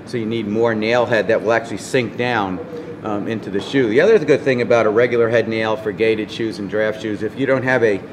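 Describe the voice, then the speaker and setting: A man in his fifties with a lowish voice talks through a hands-on demonstration, pausing with fillers and restarting phrases. His voice carries a long hall reverb over a clear bed of background ambience from a convention booth. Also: steady tone, background noise. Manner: explains with many fillers and restarts while handling shoes and nails. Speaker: farrier clinician. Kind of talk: informal product explanation. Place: convention booth with curtains, indoors.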